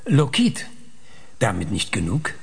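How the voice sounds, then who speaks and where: A sardonic, embittered man of science from a radio play. His voice is very husky and gravelly, and he speaks haltingly, with hums and ers and big swings of pitch. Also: huge pitch swings, full character voice. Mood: probing, excited. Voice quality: husky, raspy.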